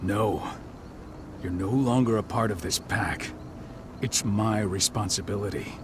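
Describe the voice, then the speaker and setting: An actor playing a stern, weary pack leader and proud veteran warrior, grave and measured, in a video game cutscene. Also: male voice, fairly low pitch, noisy background, natural voice. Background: cinematic background music.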